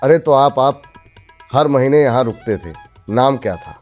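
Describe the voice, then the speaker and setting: A man's voice: mid-range voice, expressive, unhurried, a little mellow, soft background music, natural voice. A man playing a humble, generous old village eatery owner in a cartoon story, tender and hospitable. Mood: moved, nostalgic. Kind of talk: Hindi cartoon moral story dialogue.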